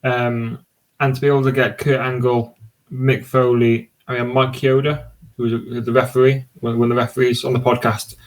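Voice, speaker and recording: A man talks as a podcast guest in an easy, enthusiastic ramble. His voice sits at an ordinary middle pitch, and he often stops and restarts his sentences. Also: level tone, moderate pace, poor audio. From Cardiff, Wales. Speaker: podcast guest and sports podcast host. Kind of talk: casual podcast conversation.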